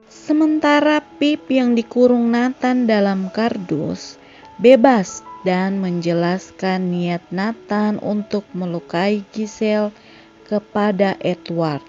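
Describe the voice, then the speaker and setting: A woman's voice: mid-range voice, takes it slowly, mellow, dull audio. A woman reading a scripted narration that recaps a film's story. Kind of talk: scripted narration of a film plot. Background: background music.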